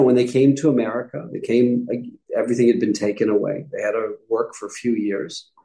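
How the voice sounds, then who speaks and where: Mellow-voiced man recounting family history. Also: mid-range voice, poor audio. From upstate New York. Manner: explanatory, with frequent self-corrections and asides. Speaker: guest rabbi. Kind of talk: conversational interview answers.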